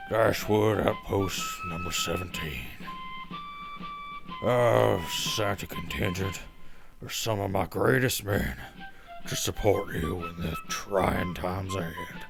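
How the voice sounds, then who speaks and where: Man playing a slow, grandiose mercenary general. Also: on the low side, wildly swooping pitch, vocal fry, music in the background, heavily acted. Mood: grandiose, solemn. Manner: stately, halting oratory. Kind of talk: recorded address to the people, audio drama.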